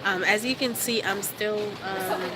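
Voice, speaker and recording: A young woman with a stuffy, cold-clogged voice chats loosely into a phone she holds at arm's length. She fills her talk with lots of ums and easy asides. Clear background noise runs under the recording. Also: mid-range voice, measured pace. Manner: chatty, relaxed, with frequent fillers and laughs. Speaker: vlogger livestreaming to fans. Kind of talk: casual live chat. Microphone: phone held at arm's length.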